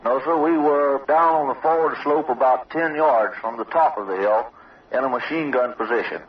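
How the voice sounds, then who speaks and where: A man's voice: medium pitch, moderate pace. A man, an army sergeant, answering an interview in formal, matter-of-fact military phrasing. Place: outdoor observation post. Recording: dull, distant old radio field recording.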